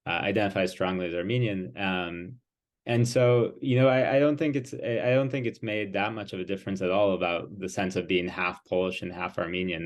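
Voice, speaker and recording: A man in an interview as an author and guest, talking thoughtfully with many pauses and fillers. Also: sits low. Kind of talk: interview conversation.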